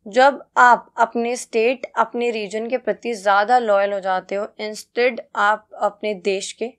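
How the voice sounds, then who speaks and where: A woman tutor with an Indian accent and a fairly high voice walks students through a lesson. She reads out key points, then explains them in a conversational way, checking in often and posing rhetorical questions. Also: steady tone, takes it slowly, a bit bright. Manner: mixes Hindi and English. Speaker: teacher, exam-prep tutor.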